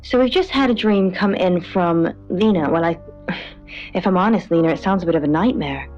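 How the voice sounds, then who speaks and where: An actress as a polite radio co-host, lo-fi sound. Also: female voice, fairly low pitch, moderate pace, a little mellow, close to an everyday voice. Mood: composed presenting. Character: polite, tentative female co-host of spooky radio show. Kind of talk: conversational late-night radio banter. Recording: dull lo-fi radio sound over music. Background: background music.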